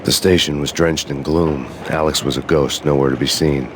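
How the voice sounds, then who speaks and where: A man voicing a grim, hardboiled male cop who narrates in a deadpan noir style. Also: rumbling low, moderate pace, background noise. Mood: brooding. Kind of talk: game voice-over narration.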